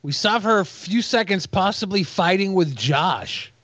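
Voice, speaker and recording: A man's voice: sits high, expressive, measured pace, a bit bright, no room sound. A man giving casual reaction commentary as a podcast co-host.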